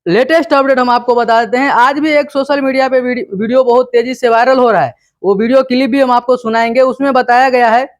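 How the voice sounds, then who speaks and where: A man's voice: very high voice, steady tone, fairly quick, hardly any pauses. A man with a Bihari accent explaining news informally, in an emphatic, repetitive, instructive way. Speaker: presenter of a local news explainer channel.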